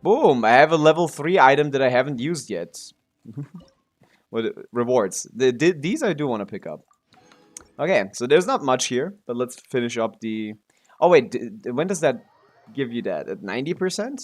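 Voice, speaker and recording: A man's voice: medium pitch, animated, normal speed. A man streaming a game with casual, rambling, self-questioning commentary. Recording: stream with game music behind.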